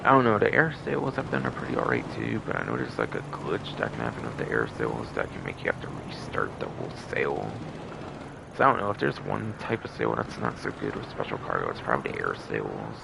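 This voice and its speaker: A man with an extremely low, heavily fried voice rambles through live game commentary. His pitch swings widely as he circles back and repeats the same phrases. The voice is gravelly throughout. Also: wildly swooping pitch, fast. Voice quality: gravelly, creaky voice. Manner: frequent throat clearing. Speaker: game livestreamer. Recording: noticeable background noise.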